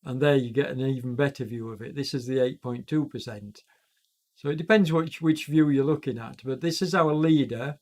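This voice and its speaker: A man with a chest cold giving loose, rambling live commentary on a virtual cycling event as its host, often pausing and correcting himself. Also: medium pitch, a little mellow. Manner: rambling, self-correcting, many fillers. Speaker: e-racing commentator and streamer.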